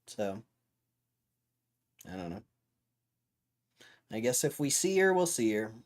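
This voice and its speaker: A hoarse young man hosting a livestream in a loose, rambling way, talking to his chat and often clearing his throat. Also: lowish voice, sing-song, slow pace. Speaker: livestream host.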